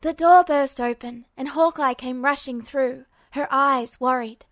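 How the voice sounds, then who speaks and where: A woman reads a story aloud in a bright, high voice, with steady and attentive narration. The recording is dry and close, with no echo. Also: measured pace. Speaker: podfic reader. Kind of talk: reading fan fiction aloud.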